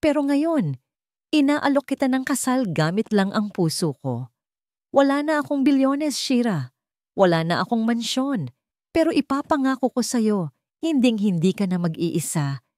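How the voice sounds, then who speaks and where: A woman's voice: medium pitch, expressive, a little slow, a little mellow, no echo, clean studio sound, voice pushed up, lightly acted. A woman voicing an earnest, devoted young father speaking in a heartfelt, pleading way in an audio love story. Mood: earnest, tender.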